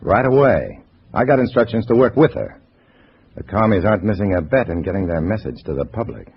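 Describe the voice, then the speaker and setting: A low, lively male voice gives us a hard-boiled undercover agent in an old radio spy drama. He is terse and wryly dry. The recording is muffled, with a faint hiss. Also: a little fast. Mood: businesslike. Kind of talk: 1950s radio drama acting and first-person narration.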